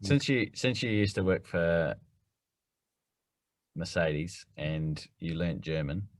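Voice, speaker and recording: A man co-hosting a golf rules podcast, explaining quickly and teasing his partner in casual chat. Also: low voice, lively intonation, no room sound. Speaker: podcast co-host.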